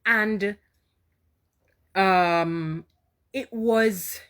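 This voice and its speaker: A Jamaican woman hosting a casual inspirational live talk, chatting warmly, repeating herself and answering viewer comments. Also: mid-range voice, very slow. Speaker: live-stream host.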